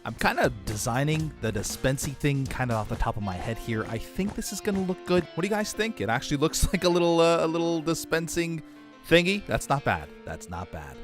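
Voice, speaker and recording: A man's voice: on the high side, very animated, fairly quick. Gamer guy talking casually over his game, very up and down voice, faint music. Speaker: gaming video creator. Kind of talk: casual gameplay commentary.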